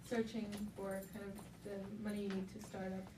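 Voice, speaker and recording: A woman in the audience asking a question in a halting way with fillers. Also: medium pitch, level tone, mellow. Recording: echoey room from a distance with noise.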